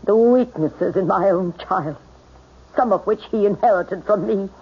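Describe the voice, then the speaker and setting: An actress playing a hard, proud old society matron, blunt and imperious, in 1970s radio drama style. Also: female voice, mid-range voice, measured pace, slightly rough. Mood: frank, softened. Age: older. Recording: dull, band-limited radio drama recording.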